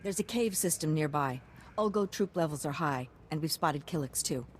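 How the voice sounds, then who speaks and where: A woman's voice: sits low, expressive, moderate pace, slight background noise. A woman playing a pragmatic, hard-nosed military science commander in a video game, clipped and businesslike. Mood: resolute. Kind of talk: video game character acting.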